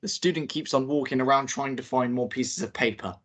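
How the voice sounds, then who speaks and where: A man reading out the stage directions at a table read in a plain, steady way. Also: medium pitch, a little fast.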